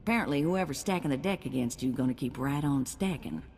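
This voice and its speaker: Seasoned game fixer: deep voice, colloquial, dry humor, long reverb. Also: female voice, animated, quick pace, a little mellow, slight background noise. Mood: chatty, wry. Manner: colloquial, wry. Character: folksy, seasoned female bounty hunt fixer. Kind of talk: video game voice acting.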